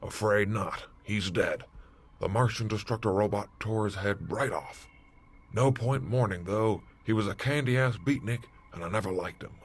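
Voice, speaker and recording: A man playing a blunt, callous military commander with a husky voice and clipped manner in a retro sci-fi radio drama. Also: fairly low pitch, moderate pace, lightly acted. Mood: callous, dismissive. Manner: clipped, military, matter-of-fact. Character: blunt, callous male space-force commander.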